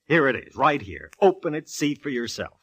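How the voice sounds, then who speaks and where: A man's voice: mid-range voice, very animated, moderate pace, fairly bright tone, breathy edge, no echo, studio quality. An American man acting a smitten, teasing police captain in a 1940s radio drama. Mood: eager, explaining. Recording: vintage radio broadcast recording.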